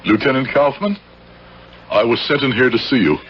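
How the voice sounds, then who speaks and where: An actor playing a guilt-ridden, unwell man confessing, husky and halting, in a radio drama. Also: medium pitch, faint music behind, lightly acted. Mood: guilty, strained. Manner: halting, intense, insistent. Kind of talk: 1970s radio drama acting. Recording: dull radio recording.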